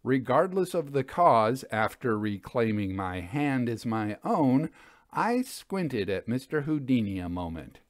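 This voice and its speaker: A man's voice: mid-range voice, sing-song, a little slow, dry recording, clean studio sound. A man reading scripted first-person literary narration as a storyteller.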